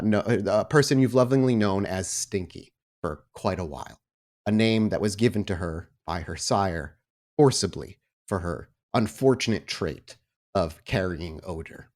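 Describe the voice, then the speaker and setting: A man's voice: lowish voice, a little mellow. A man speaking as a podcast host and game storyteller, chatty and informal. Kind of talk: podcast announcements and game narration.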